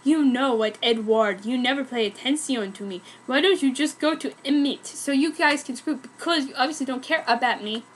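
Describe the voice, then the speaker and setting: A woman acts out a teenage goth girl full of angst and melodrama. The voice is very high and exclamatory, with overwrought delivery suited to a parody fan fiction reading. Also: slight background noise, lightly acted, low-quality recording. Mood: angry. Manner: overwrought, exclamatory, deliberately mangled words.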